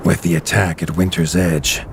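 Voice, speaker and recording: A man giving grave, measured storybook narration for a game, recorded as a studio voice-over. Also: sits low, flat delivery, background noise. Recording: loud music underneath.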